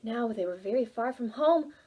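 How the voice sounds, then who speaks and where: A woman reading a children's storybook aloud for preschoolers, dramatic and expressive, involving the young listeners. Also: a little high, moderate pace, slightly rough, highs cut off. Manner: animated, dramatic, asks listeners questions. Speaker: children's library storytime reader.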